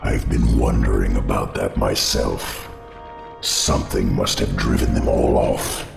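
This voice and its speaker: An actor playing an ancient undead spider-lord who gives slow, solemn warnings in a deep gravelly rumble. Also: male voice, fairly even intonation, full character voice. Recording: echoey game audio over score. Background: music.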